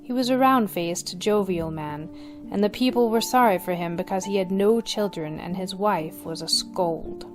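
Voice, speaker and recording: A woman's voice: mid-range voice, moderate pace. A woman giving scripted story narration as the narrator of a radio play. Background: faint plucked guitar music.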